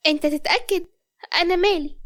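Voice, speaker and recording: Woman putting on a high, sassy Egyptian young woman. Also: fast talker, a bit bright. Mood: guarded, sassy. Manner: teasing, challenging. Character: sassy, guarded young woman being courted.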